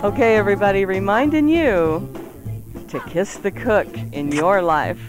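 A woman's voice: mid-range voice, unhurried, over music. A woman co-hosting a radio show with casual, playful banter. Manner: playful, giggly. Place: radio studio.

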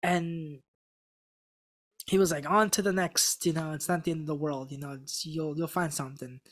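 Young man, Korean first language, podcast guest, animated storytelling, many restarts, close studio mic, small room. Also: on the high side, moderate pace. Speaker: podcast guest, former contestant. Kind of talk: casual interview talk. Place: small room with a gray backdrop. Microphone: studio mic on a stand in front.

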